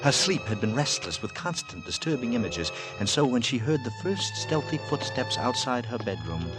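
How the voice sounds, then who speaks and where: A man narrating in 1940s radio drama style, literary, deliberate and suspenseful. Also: mid-range voice, very animated, poor audio. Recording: vintage 1940s radio with music.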